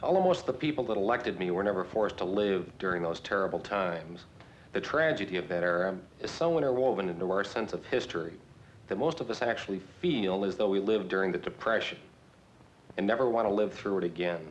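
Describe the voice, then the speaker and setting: An actor playing an earnest elected politician who speaks formally and oratorically in an interview. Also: male voice, expressive, brisk. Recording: dull lo-fi film sound, noisy rumble.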